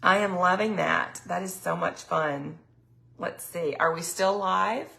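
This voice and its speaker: A middle-aged woman, chatting casually on a live sales stream, her throat a little raspy from allergies. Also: on the low side, measured pace, sharp tone. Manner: chatty, lots of ums, reads viewer comments aloud. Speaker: product consultant hosting a live sales stream.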